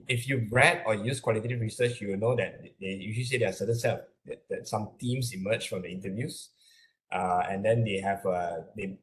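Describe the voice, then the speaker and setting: A man with a Malaysian accent lectures in a fairly low voice. He explains things step by step as an academic would in a webinar, in a halting way, often repeating words as he searches for the next phrase. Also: poor audio.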